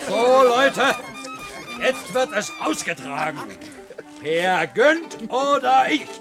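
An actor playing a loud, challenging village brawler spoiling for a fight in a radio play. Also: male voice, extremely high pitch, huge pitch swings, takes it slowly, exaggerated voice. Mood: aggressive. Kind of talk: theatrical radio play acting. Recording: radio drama with crowd and faint music.

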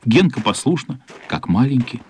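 A man's voice: medium pitch, very animated, normal speed, breathy edge. A man reading literary narration as the storyteller of a children's radio play.

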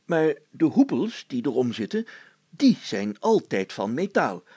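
A man voicing a pompous, fussy, excitable town mayor in a story, exclaiming and stammering when flustered. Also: mid-range voice, measured pace, husky, no echo, voice pushed down. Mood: instructive.

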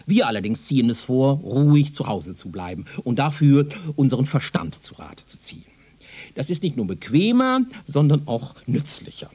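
An actor playing a self-assured, pedantic professor from the future in a German radio drama, lecturing in a condescending way. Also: male voice, mid-range voice, very animated, normal speed, a little mellow, slightly rough. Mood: patronizing, reproving. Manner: pedantic, didactic, rhetorical.

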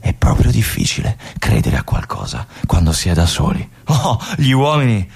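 An actor playing a lonely, obsessive army lieutenant in a stage monologue, musing aloud and swinging between brooding and feverish. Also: male voice, medium pitch, a little mellow. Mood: melancholy. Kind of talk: theatrical monologue for radio.

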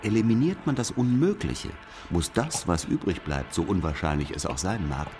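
Smug, low-voiced master detective. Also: male voice, expressive, a little mellow, slight background noise. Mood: coolly accusing. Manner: deliberate, superior, lecturing, needling. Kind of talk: German radio play dialogue.

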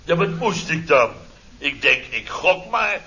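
A man's voice: on the high side, sing-song, measured pace, sharp tone, exaggerated voice. An actor playing a blustering, sarcastic middle-aged contractor boss, telling his mishaps theatrically in a radio comedy serial. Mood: indignant, mocking. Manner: theatrical, exclamatory, sarcastic storytelling. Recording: dull, noisy old radio recording.

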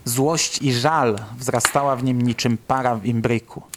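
A man's voice: medium pitch, steady tone, measured pace, noisy background. A man reading scripted, literary narration for an audio drama.

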